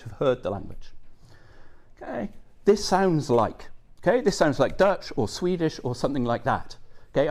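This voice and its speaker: A man's voice: medium pitch, a little mellow. A middle-aged man giving a conference talk, conversational, checking in with the audience with frequent "okay" and "yeah". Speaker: academic presenter.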